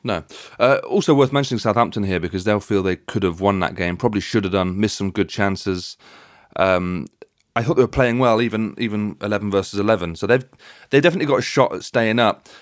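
Low-voiced man hosting football chat. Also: a little fast, no room sound, clean studio sound. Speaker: podcast host. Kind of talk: casual football discussion.

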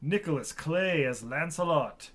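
A young man hosts a loose movie-review chat, reading off credits between fits of laughter and scattered ums. His voice sits a little high and swings widely in pitch as he jokes with his co-hosts. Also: expressive, measured pace, fairly bright tone. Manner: laughing often, listing credits with filler ums. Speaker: podcast host. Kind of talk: casual movie podcast chat.

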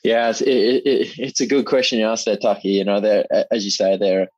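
A man's voice: on the low side, little pitch movement, fairly quick, a little mellow, slightly rough, no room sound, lo-fi sound. A young man, a rugby player, answering interview questions modestly and repeating words as he thinks, heard over a remote line. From Zimbabwe.

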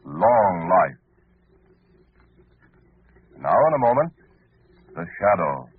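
A man's voice: deep voice, very animated, slow pace, slightly rough. A man announcing in an emphatic, dramatic, scripted 1940s radio style. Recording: muffled old radio broadcast.

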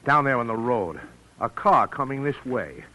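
An actor playing a wronged, quietly vengeful man in a 1940s radio drama, speaking in a deliberate, halting way. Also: medium pitch, big pitch swings. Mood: resolved. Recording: noisy vintage radio drama recording.

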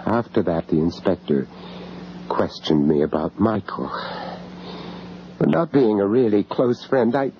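An actor playing an anxious English gentleman in a radio horror drama, halting and stammering in distress, on an old radio recording. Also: on the low side, lo-fi sound, slight background noise. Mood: grim, shaken. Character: shocked, anxious English gentleman, family friend of victims. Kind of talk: radio horror drama acting and first-person narration.

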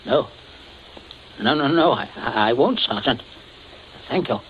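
A man's voice: medium pitch, animated, a little slow, a little hiss or hum, lightly acted. An actor playing a domineering, scheming middle-aged father in 1940s radio style, stammering and blustering haughtily. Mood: flustered. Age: middle-aged or older. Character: flustered, domineering, coldly scheming father of a household. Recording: dull, lo-fi 1940s radio recording.

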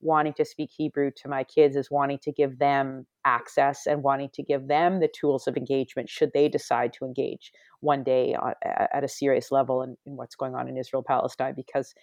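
A middle-aged woman, author of a memoir, answering interview questions reflectively, often restarting and pausing. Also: very deep voice, little pitch movement, darkish tone, no room sound. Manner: reflective, many restarts and hedged pauses.

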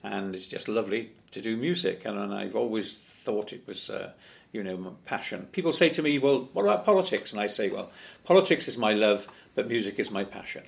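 A man's voice: lowish voice, fairly quick, vocal fry. An older man from Wales, talking as a guest in a conversational interview, chatty and full of fillers and restarts. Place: office in Parliament.

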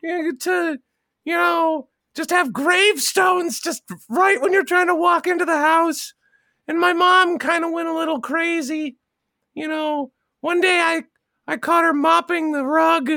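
A woman voicing an odd, dim little Boston boy with childish, simple, deadpan statements in improvised comedy. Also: extremely high pitch, a little slow, creaky, dry recording, clean studio sound, heavily acted. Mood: bewildered. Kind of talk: improvised comedy character interview.

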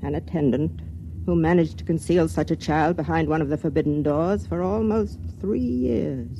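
An actress playing a secretive, tender older matron in an old-time radio drama, speaking in a deliberate, formal way. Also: female voice, low voice, creaky, mellow. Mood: secretive, probing. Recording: noisy vintage radio recording.

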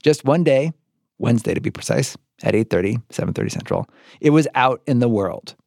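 A man's voice: medium pitch, expressive, brisk, creaky, darkish tone, dry recording, studio quality. An American man hosting and narrating a podcast in a scripted but conversational storytelling style.

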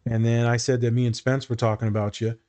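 A man hosting a podcast, a therapist, talking in a relaxed, chatty, joking way. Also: mid-range voice, fast talker. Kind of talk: casual podcast chat.

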